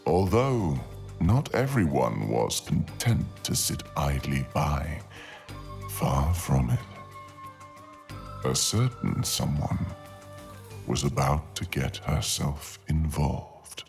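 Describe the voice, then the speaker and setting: A man narrating a scripted fantasy tale in an ASMR style, with dramatic, deliberate phrasing and long pauses. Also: extremely low pitch, lively intonation, creaky, darkish tone, faint music behind. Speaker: audio storyteller, narrator.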